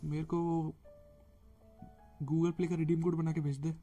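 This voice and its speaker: A man's voice: a little high, flat delivery, rapid-fire, mellow, faint music behind. A man from India giving casual commentary as a game livestreamer. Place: voice over game audio on a livestream.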